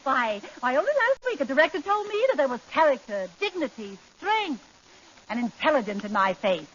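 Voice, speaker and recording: A woman's voice: high-pitched, sing-song, fairly quick, fairly bright tone, breathy edge, full character voice. A woman playing a haughty, vain, easily offended wife in a 1940s radio comedy sketch, grand and scornful. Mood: indignant. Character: haughty, indignant, vain middle-class wife. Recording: muffled, very noisy 1940s radio recording.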